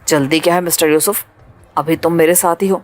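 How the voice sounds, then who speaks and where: A woman voicing a cold, ruthless male pirate leader who talks in a calm, mocking, menacing way. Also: deep voice, soft background music, pitched below the performer's own voice, lightly acted.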